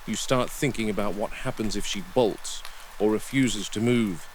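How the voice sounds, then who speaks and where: A man playing a watchful, weary dinosaur park game warden, narrating a found-footage log in a measured, deliberate way. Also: lowish voice, close to an everyday voice. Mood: patient, watchful. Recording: noisy field recording with vehicle ambience.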